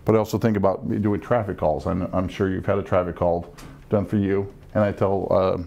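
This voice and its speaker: A middle-aged man explaining things as an air traffic controller on a panel, measured and instructive. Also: low-pitched, steady tone, vocal fry, mellow, dull audio. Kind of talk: panel discussion, explanatory talk. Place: small meeting room, around a conference table.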